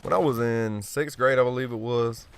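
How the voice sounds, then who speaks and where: A man giving casual gameplay commentary, blunt and profane, rambling and joking at himself, often clearing his throat. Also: medium pitch, normal speed. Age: adult. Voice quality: smooth voice. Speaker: gaming commentator.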